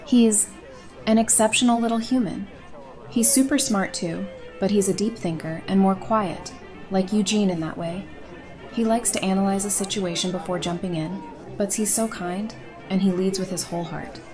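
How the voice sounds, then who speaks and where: Woman acting a warm, teasing young mother. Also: mid-range voice, normal speed, over music, a crowd in the background, natural voice. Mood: affectionate. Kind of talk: audio drama acting, conversational.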